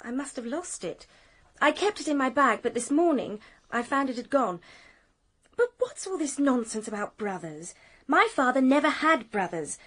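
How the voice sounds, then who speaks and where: A woman's voice: sits high, very animated, normal speed, fairly bright tone, exaggerated voice. An actress playing a headstrong, indignant young British woman in a radio mystery, forthright and emphatic. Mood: uneasy.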